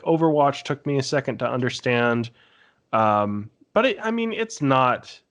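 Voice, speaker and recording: Guy with a lowish voice on a podcast panel, casual, pauses a lot while explaining. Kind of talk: casual chat about gaming.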